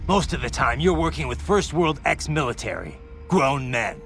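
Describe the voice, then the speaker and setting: A man's voice: mid-range voice, very animated, moderate pace, gravelly, a bit bright, soft background music. An actor playing a hardened cyborg swordsman in a game cutscene, terse and matter-of-fact. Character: hardened cyborg swordsman, adult man, blunt. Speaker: video game protagonist.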